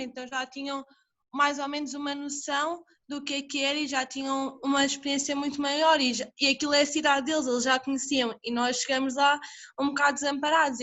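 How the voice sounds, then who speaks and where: A woman's voice: sits high, a bit bright. A young woman, a student asking an informal question in a wordy, repetitive way. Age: young adult. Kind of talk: informal question in a group discussion.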